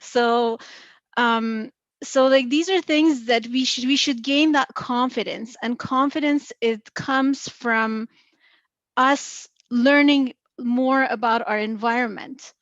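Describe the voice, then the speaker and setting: A woman's voice: on the high side, slow pace, a bit bright, no room sound. Accented woman, slightly muffled video call. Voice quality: noticeable non-native accent. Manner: earnest, explains with examples and many fillers. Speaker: panellist in finance. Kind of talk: virtual panel discussion.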